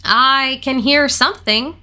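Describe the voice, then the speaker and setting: Woman voicing a bright, reassuring young boy. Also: high-pitched, a little slow, heavily acted. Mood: calm, concerned. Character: calm, kindly, confident young boy. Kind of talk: children's storybook character reading.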